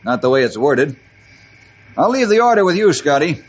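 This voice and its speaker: An actor playing a brisk, authoritative forest ranger chief in an old-time radio western. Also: male voice, on the high side, very animated, slight background noise. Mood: firm. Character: forest ranger chief, firm, decisive, fair-minded leader.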